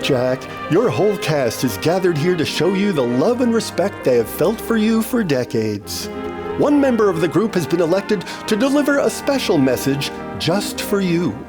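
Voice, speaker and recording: An actor playing a gushing 1950s television tribute host, with emphatic stretched-out introductions. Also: male voice, high voice, very animated, moderate pace, music in the background. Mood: announcing, solemn. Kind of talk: 1950s broadcast presenting.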